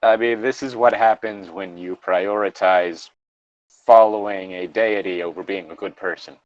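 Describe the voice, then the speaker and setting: A man's voice: low voice, steady tone, moderate pace, no echo. A man doing a comic read-aloud with casual commentary, irreverent and sardonic, on a slightly muffled mic. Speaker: co-host and reader.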